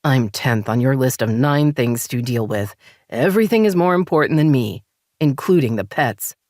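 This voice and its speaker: A woman's voice: very deep voice, expressive, moderate pace, a little mellow, no echo, studio quality. A woman reading as a defensive husband. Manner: defensive, sulky.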